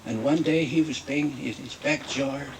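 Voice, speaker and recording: A man playing a Mexican-American storyteller who tells a comic fairy tale in broken English, with drawn-out, repetitive folksy phrasing. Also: medium pitch, far from the mic, slight background noise, voice pushed down, close to an everyday voice, low-quality recording. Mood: folksy, earnest. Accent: put-on Mexican-American.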